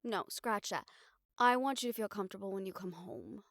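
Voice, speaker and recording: A young woman playing an affectionate, teasing girlfriend in an intimate audio roleplay, speaking in a halting, tender and wry way. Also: medium pitch, dry recording, studio quality, natural voice. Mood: warm, coaxing. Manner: halting, tender, wryly teasing, casually sweary.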